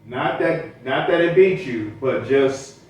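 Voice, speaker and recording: A man's voice: mid-range voice, slow, highs cut off. Male interviewer in a very echoey room. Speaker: podcast host, interviewer. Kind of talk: casual conversational interviewing. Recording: very echoey room, distant mic, faint noise.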